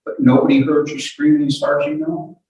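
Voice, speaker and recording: A man's voice: on the high side, wildly swooping pitch, lo-fi sound, noisy background. Man cross-examining in an echoey courtroom. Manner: halting, repeats opening words, insistent. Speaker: lawyer questioning a witness. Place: courtroom hearing. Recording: echoey courtroom, distant microphone.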